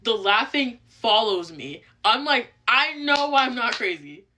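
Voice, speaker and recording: A woman's voice: fairly high pitch, lively intonation, a little slow, bright, forceful tone, a little hiss or hum. A young woman telling a casual personal storytime in informal speech that can be broken by vocal tics. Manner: vocal tics interrupting speech with sudden repeated phrases.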